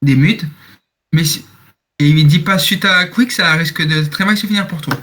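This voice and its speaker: Young man, higher-pitched, noisy voice chat. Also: normal speed, a bit bright. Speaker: game server moderator. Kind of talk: roleplay moderation in online voice chat.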